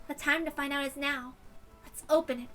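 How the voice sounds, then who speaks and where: A solemn girl played by a woman muses in a story reading. Her voice is high and somewhat quieter, with a reflective manner and music faintly behind it. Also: fairly even intonation, moderate pace.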